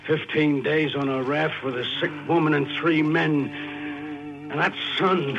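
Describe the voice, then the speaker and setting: A man's voice: fairly high pitch, fairly even intonation, a little slow, music in the background. An actor playing a harsh, commanding male chief mate in a 1940s radio drama. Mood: gruff. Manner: gruff, commanding. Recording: noisy dull 1940s radio broadcast.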